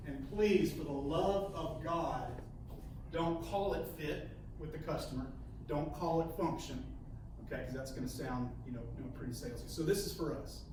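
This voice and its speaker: A man leading a sales training session, halting and repeating words, often ending points with "okay?". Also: on the high side. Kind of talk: coaching a training session with role-play. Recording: echoey room, mic far off, faint hiss.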